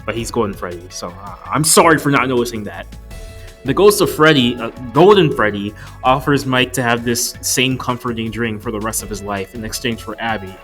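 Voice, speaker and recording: A man's voice: medium pitch, lively intonation, fairly quick, a bit bright, faint music behind. A man narrating a casual, joke-filled video review in a wry, profane, mock-exasperated way. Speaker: movie reviewer.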